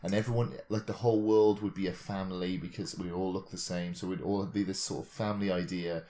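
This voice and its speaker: A man's voice: deep voice, fairly even intonation, a little fast, warm tone, slight background noise. A man co-hosting a podcast, thinking aloud in a rambling, hesitant, dryly joking way. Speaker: podcast co-host. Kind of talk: casual chat, speculative musing.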